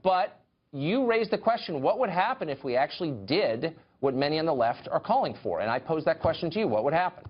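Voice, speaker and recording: A man hosting a news show, interviewing a guest with pointed, animated questions. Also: fairly high pitch, fairly quick, dull audio. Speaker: cable news host.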